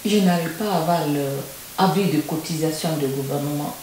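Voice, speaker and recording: A woman from Guinea, answering interview questions in a halting way with simple phrasing. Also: deep voice, darkish tone. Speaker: interview guest. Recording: roomy echo with faint hiss.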